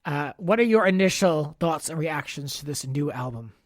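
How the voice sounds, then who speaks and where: A man's voice: fairly high pitch, expressive, normal speed, no echo. A man hosts a casual podcast in an upbeat, informal way. His speech is conversational and sprinkled with "like". The recording is dry, with very little room sound. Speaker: podcast host. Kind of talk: casual chat.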